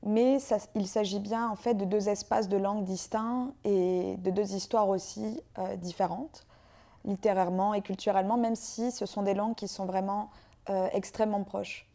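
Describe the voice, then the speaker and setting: Woman, from France, guest poet, interview, hesitant fillers, mellow warm tone. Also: medium pitch, steady tone, creaky. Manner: hesitant, frequent filler pauses. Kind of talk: interview conversation.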